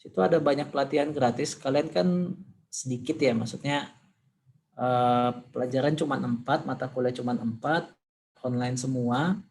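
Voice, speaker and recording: A male lecturer, his voice hoarse and gravelly with fry. He walks a class through a demonstration in a loose, informal way, thinking aloud with fillers. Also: medium pitch, normal speed, darkish tone, a little hiss or hum.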